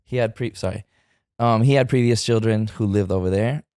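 A man in his thirties with a lowish voice tells his story in a loose, halting way, pausing on fillers and restarting his sentences. He is from the Bay Area and speaks into a close studio mic in a living room. The sound is dry and close. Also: darkish tone, dry recording. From San Francisco Bay Area. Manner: hesitant, many fillers and restarts. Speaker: guest actor. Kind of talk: casual interview chat. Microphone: studio mic on arm.

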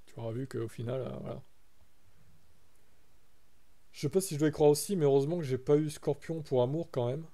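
A man's voice: a little high, fast talker. Chatty young French man streaming. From France. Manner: chatty, reacts loudly to chat, reads aloud. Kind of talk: casual live-stream chat.

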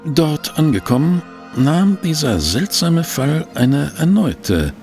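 A man's voice: on the high side, sing-song, slow, vocal fry, a little mellow, faint music behind, exaggerated voice. A man playing a wry, insistent newspaper reporter in a German audio drama. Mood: narrating. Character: brisk, wry male newspaper reporter and sidekick. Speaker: narrator and character actor. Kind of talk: German audio drama acting and first-person narration.

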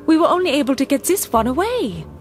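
A woman's voice: high voice, sing-song, fast, soft background music. A woman playing a gangster who defers to her boss, in a comic-book audio drama. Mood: anxious.

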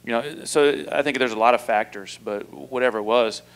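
A man in his forties, a basketball coach answering press questions after a loss, measured and halting with many fillers, speaking into a table mic in a press room. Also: medium pitch. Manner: measured, flat delivery with many fillers and restarts. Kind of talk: postgame press conference answers. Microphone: table mic in front.

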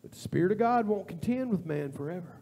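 A man preaching a sermon as a pastor, with informal, repetitive phrasing. He builds to an impassioned near-shout and later drops to a quiet prayer. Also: sits high, lively intonation, fast talker. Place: church sanctuary.

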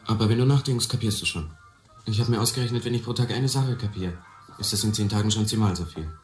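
A streetwise, cocky harbour youth played by a man speaks in a low voice, blunt and colloquial. He sounds hungry to get ahead. It is radio drama in a roomy space with music behind. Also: fast talker, lightly acted. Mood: probing, blunt. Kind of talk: German radio play acting.

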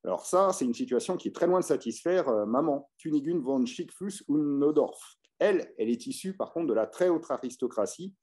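A man's voice: on the high side, lively intonation, a little fast, darkish tone. Hesitant French-speaking male history lecturer. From France. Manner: hesitant, many filler sounds and restarts. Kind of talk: unscripted illustrated history talk.

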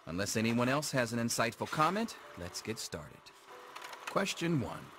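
A man voicing a dry, patient, middle-aged schoolteacher in a video game, measured and wry as he runs an exam. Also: medium pitch, wildly swooping pitch, fairly bright tone, slight background noise. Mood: dry, instructive. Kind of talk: video game dialogue acting.

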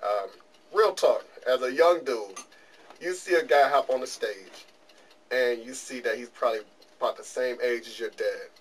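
A man's voice: mid-range voice, normal speed. A middle-aged man hosting a small interview show, asking questions in a plainspoken, joking way. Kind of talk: casual interview. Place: small office studio. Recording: distant camera mic with faint room noise.

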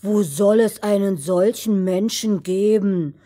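A woman's voice: mid-range voice, slow pace, mellow. A woman storyteller giving scripted fairy-tale narration as part of a conversational exchange.

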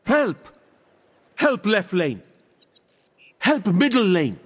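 An actor playing a serene, smugly wise seer deity in a video game, speaking in a formal, measured, theatrical manner. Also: male voice, high voice, very animated, breathy edge, long reverb tail, exaggerated voice. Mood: urgent commanding. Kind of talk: video game character voice lines.

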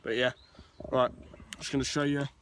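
A man's voice: mid-range voice, level tone, moderate pace, a bit bright, slight background noise. A young man speaks straight to his audience in a loose, chatty way while walking through woods. His phrasing is casual and slangy, the sound caught by a phone held nearby outdoors. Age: young adult. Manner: chatty, addresses viewers directly, nonstandard grammar. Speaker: vlogger. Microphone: friend's handheld phone.

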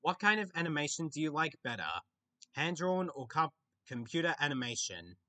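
A young man with an Australian accent, chatting casually on a webcam in his bedroom as he answers viewer questions, often pausing and restarting to think. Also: a little high, expressive, sharp tone. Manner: halting, with frequent restarts and pauses to think. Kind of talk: casual Q&A chat. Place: small bedroom, indoors.

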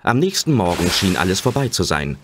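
A man's voice: deep voice, sing-song, a little fast, noisy background. A man narrating a scripted children's adventure audio drama in a storytelling style.

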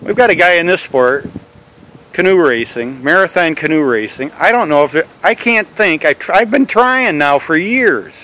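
A man interviewing a canoe racer, building his questions slowly and rambling a little. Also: fairly high pitch, animated, bright, forceful tone, poor audio.

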